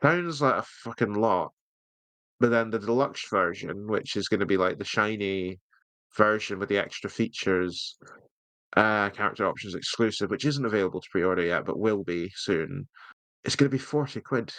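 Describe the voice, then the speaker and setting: A man's voice: fairly low pitch, normal speed, fairly bright tone, no room sound, rough recording. A British man co-hosting a podcast in casual banter, with a wry, rambling, sweary way of talking. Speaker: podcast co-host.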